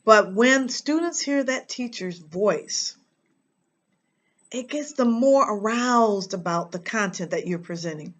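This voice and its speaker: An American woman giving an instructional talk as a reading teacher and host, speaking emphatically and deliberately with dramatic pauses. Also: medium pitch, lively intonation.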